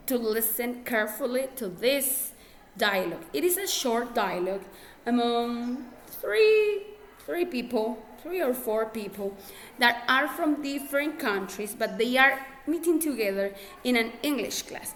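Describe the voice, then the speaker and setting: A woman's voice: fairly high pitch, a bit bright, a little hiss or hum. Patient Ecuadorian English teacher, woman. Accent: Ecuadorian Spanish. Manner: patient, repeats herself, checks with "okay?". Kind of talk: classroom instruction.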